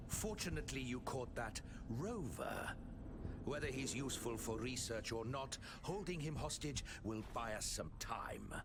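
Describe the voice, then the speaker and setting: A man's voice: medium pitch, very animated, unhurried, very noisy. A man playing a cold, scheming puppet-maker villain in a video game, calculating and commanding. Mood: smug, calculating. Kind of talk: video game character acting.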